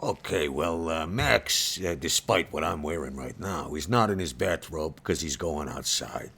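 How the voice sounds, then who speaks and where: A man playing in a tabletop role-playing game, describing his character's outfit in a relaxed, halting way. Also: extremely low pitch, gravelly, a little hiss or hum. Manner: relaxed, hesitant, lots of fillers. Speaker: player.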